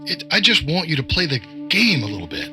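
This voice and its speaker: Smug male government agent, acted, lively pitch, patronizing, wordy, mock-polite, menacing audio drama villain, music underneath. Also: quick pace. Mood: coy, controlling. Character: smug, condescending male government agent toying with his target.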